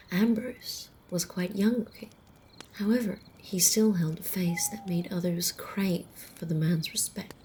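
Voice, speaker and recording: A woman playing a calm, ancient female vampire in an ASMR roleplay, telling old lore in a soft, measured half-whisper. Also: lowish voice. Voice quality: soft, breathy, often whispering. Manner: measured, deliberate, hushed storyteller. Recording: faint outdoor night noise, crickets.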